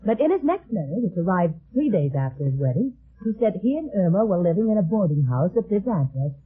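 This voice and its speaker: An actress playing a worried, earnest farm woman in a 1950s radio detective drama, speaking haltingly on a muffled old recording. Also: fairly low pitch, very animated, husky. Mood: wistful, worried. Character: anxious farm woman seeking her missing brother.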